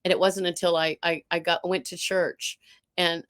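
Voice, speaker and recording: A woman guest and art teacher with a low alto voice, talking in a casual conversation, animated and full of restarts. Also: moderate pace. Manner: animated, storytelling, frequent restarts.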